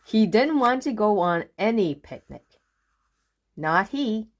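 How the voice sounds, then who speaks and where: A woman reading a children's book aloud in an expressive narrating style, with deliberate, dramatic phrasing. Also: medium pitch. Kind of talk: expressive storybook read-aloud.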